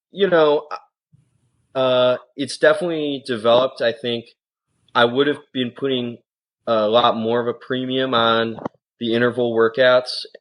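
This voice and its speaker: A man's voice: fairly low pitch, a bit bright. A man from the United States, a coxswain and coach, answering interview questions in a thoughtful, casual way with lots of "you know" and "um". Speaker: rowing guest, coxswain and coach.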